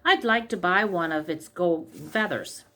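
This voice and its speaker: A woman's voice: on the low side, measured pace, a bit bright. A wealthy fairy-tale merchant, a man given to haggling, voiced by a woman reading aloud. It is a storybook character part.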